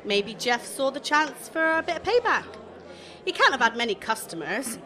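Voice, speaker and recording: High, bright young shop girl. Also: very animated, normal speed, heavily acted. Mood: chatty, defensive. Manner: colloquial, gossipy, blunt. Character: chatty, aggrieved young shop assistant in seaside town. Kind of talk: radio play comedy acting.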